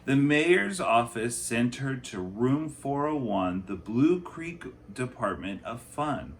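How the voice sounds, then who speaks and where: A man, a teacher, reading a children's picture book aloud in an expressive storytelling style. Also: medium pitch, slow.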